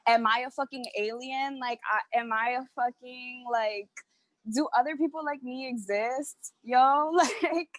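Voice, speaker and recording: A woman's voice: high voice, measured pace, dry recording. A woman from New York speaking candidly on a video-call panel, emphatic and casual, with the odd swear word. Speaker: panelist artist.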